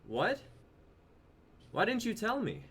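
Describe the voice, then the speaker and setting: A man's voice: high-pitched, wildly swooping pitch, slow pace, fairly bright tone, slightly rough, heavily acted. A man playing an insecure, on-edge young boyfriend who pleads and flares up, in a dramatic script reading. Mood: agitated, hurt. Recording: noisy amateur livestream audio.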